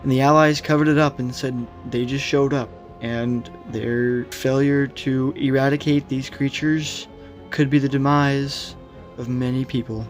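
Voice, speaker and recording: A man hosting a video, giving opinionated, conversational commentary in a casual explainer style. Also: medium pitch. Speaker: video host. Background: background music.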